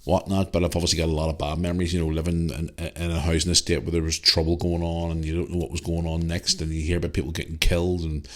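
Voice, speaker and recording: Low-voiced Northern Irish podcast host. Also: male voice, flat delivery, fast, hardly any pauses. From Northern Ireland. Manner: rambling, chatty, with many fillers and restarts. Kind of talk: casual solo podcast, reading listener email.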